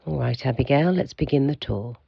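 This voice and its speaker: Man acting as a gravelly, pompous institute keeper. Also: mid-range voice, no room sound, muffled recording. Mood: formal, guiding. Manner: formal, measured, curt. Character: aloof, pompous male keeper of an institute for monsters. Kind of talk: audio drama acting.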